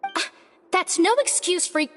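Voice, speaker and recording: High, lively scolding young worker. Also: female voice, normal speed. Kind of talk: video game voice acting. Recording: long hall reverb, faint music.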